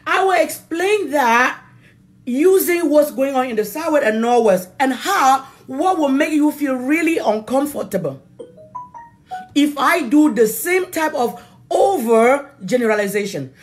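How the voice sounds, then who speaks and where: A woman from Cameroon, a native French speaker with a Cameroonian accent, giving an impassioned political address in an emphatic, deliberate way, repeating phrases for stress. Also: high-pitched, expressive. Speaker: political activist addressing viewers.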